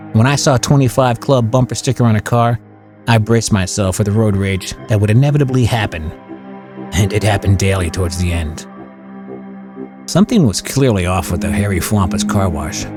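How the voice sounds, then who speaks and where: A man's voice: medium pitch, natural voice. A man playing a middle-aged suburban father who tells a small-town horror story in a folksy, confiding, conversational way. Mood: exasperated, then grave, confiding. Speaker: narrator of an audio horror story. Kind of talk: conversational first-person scripted storytelling. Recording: music bed under the voice. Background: background music.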